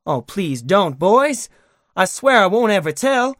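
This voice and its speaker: A man voicing a terrified tied-up captive who wails and pleads in a high, strained voice for a storybook reading. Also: sing-song, measured pace, a bit bright, no room sound, clean studio sound, exaggerated voice. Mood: terrified pleading.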